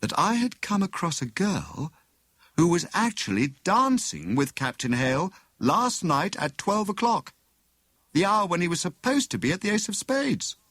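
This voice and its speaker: Male narrator as clever young lady sleuth, raised pitch, swooping emphasis, brisk and knowing, audiobook mystery. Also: very animated, a bit bright, no room sound, exaggerated voice. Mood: poised, leading. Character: clever, excitable young married woman turned amateur sleuth.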